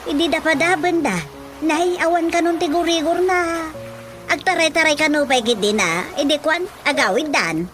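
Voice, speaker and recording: A woman's voice: high voice, moderate pace, soft background music, lightly acted. A woman playing a middle-aged, superstitious village mother in a radio drama, telling things expressively with a Filipino Ilocano accent. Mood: earnest storytelling. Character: chatty superstitious village mother who believes in folk healing.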